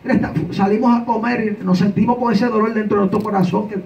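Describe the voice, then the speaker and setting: High-voiced man preaching with energy. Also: quick pace, dull audio, slight background noise. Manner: energetic, joking, shouting. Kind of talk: Pentecostal preaching and testimony. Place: small church stage indoors. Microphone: handheld mic, far camera. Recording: echoey church heard from far off, loud congregation.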